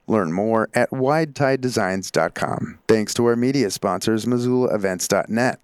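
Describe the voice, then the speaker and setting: A male podcast host reads sponsor notes in a steady, even manner. His voice sits low and is recorded dry and close. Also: no echo. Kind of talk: read sponsor announcements.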